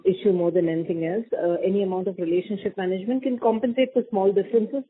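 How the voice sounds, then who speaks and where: A woman's voice: mid-range voice, phone-quality sound. A woman explaining things carefully and off the cuff as a bank executive on an earnings call. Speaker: senior bank executive answering analysts. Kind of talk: earnings call answers, unscripted. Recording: thin phone conference line.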